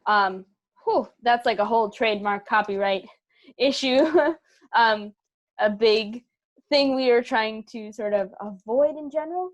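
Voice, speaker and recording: A woman's voice: on the high side, unhurried. A woman giving casual interview answers as a guest, thoughtful and emphatic as she clarifies point by point. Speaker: interview guest, theatre company member and writer.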